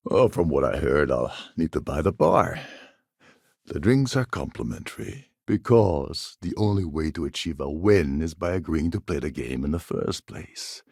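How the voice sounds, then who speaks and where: A man playing a gruff, sardonic space pirate con man in a video game, with a gravelly, husky voice and a wry, scheming manner. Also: very deep voice, lively intonation, normal speed, darkish tone, dry recording, studio quality, exaggerated voice. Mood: sly, measured. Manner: wry, sarcastic, world-weary.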